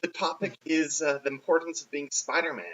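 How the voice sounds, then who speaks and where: A young man co-hosting a film podcast, talking bluntly about his opinions, with many filler pauses. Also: fairly even intonation, fairly bright tone. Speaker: podcast co-host. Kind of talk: casual film podcast chat. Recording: muffled low-quality recording.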